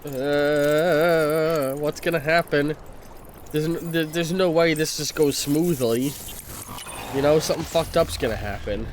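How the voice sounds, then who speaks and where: A man's voice: a little high, a little slow, a little hiss or hum. A stuffy-nosed man with a cold, playing a game and giving casual, wry commentary while expecting things to go wrong. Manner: wry, profane, anticipating trouble. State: congested from a cold. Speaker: streamer playing a video game.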